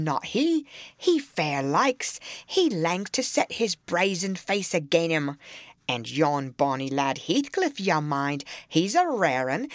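A woman's voice: mid-range voice, no echo, studio quality, pitched above the performer's own voice. A woman voicing a gossiping, disapproving rustic manservant in broad Yorkshire dialect for an audiobook of a classic novel.

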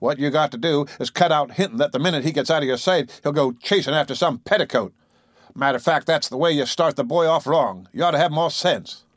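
A loud, bullying middle-aged businessman who lectures and boasts, as an audiobook narrator puts him on. The voice sits higher than the narrator's own, pushed and indignant. The room is dry and close. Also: fairly quick, no room sound, lightly acted. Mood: lecturing.

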